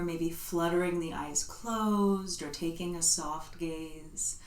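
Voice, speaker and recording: A woman leading a yoga class, giving calm, soothing step-by-step cues. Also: on the low side. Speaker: yoga instructor. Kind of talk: guided yoga class instruction. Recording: roomy echo, voice from a distance.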